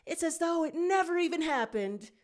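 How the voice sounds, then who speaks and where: A woman's voice: very high voice, expressive, normal speed, pitched above the performer's own voice. A woman voicing a gleeful, affectionate male jackal who teases and dotes on his younger brothers as pack boss. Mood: excited, relieved. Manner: playful, teasing, doting.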